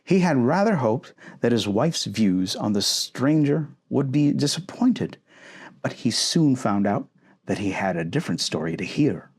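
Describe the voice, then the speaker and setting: A man reading a novel aloud in an expressive ASMR boyfriend roleplay style. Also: medium pitch, unhurried, husky.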